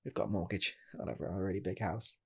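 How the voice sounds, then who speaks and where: A man playing a breathy, hesitant rocket scientist. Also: low-pitched, fairly even intonation, fast, heavily acted. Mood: torn. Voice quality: breathy, half-whispered. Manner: soft, hesitant, rambling with restarts. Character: earnest male rocket scientist job applicant. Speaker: ASMR roleplay creator. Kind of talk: ASMR personal attention roleplay.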